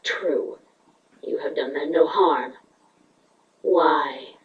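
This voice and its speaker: Bitter changeling queen played by a woman: proud but beaten, mocking, drawn-out lines, muffled and roomy. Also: low-pitched. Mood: wary, accusing. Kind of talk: dramatic fan fiction reading, cartoon pony character. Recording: echoey, dull, distant from the mic.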